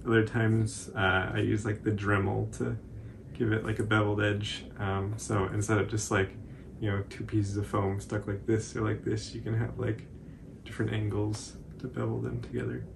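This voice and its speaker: A man's voice: on the low side, little pitch movement, moderate pace, vocal fry, background noise. A man in his twenties with an American accent, chatting casually through a craft tutorial as host. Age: 20s. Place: small indoor room at home, on a couch.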